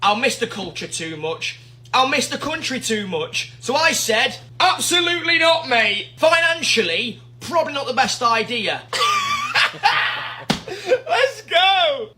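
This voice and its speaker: A man from the UK with a British accent telling an excited, exuberant story in a social media monologue. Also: extremely high pitch, a little slow, slight background noise.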